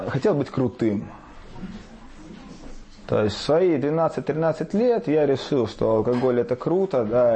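A man's voice: medium pitch, warm tone, slight background noise. A man in his thirties giving a hesitant interview answer, full of fillers. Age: 30s. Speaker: interviewee in rehab.

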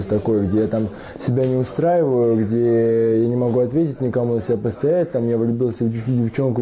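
A young man in his twenties talks in a halting, hesitant way, pausing often as he opens up. His voice is very dark and mellow, at an ordinary pitch for a man. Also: rough recording. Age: 20s. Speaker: interviewee in rehab. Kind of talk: candid interview answer.

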